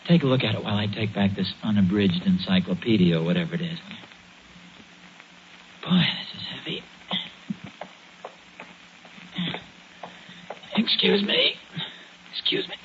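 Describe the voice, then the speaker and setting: Flustered, animated radio sitcom dad. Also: male voice, on the low side, normal speed, pauses often. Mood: fumbling, distracted. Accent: American. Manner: hesitant, stammering when flustered. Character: flustered, genial suburban father, stubborn and sheepish. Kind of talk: 1940s radio comedy acting. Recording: noisy, muffled 1940s radio recording.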